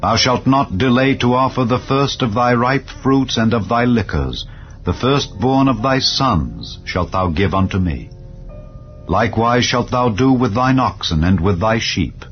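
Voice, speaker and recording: A man narrating scripture in a solemn, measured, declarative manner, as in a dramatized Bible reading. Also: medium pitch, lively intonation, muffled recording. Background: clear background music.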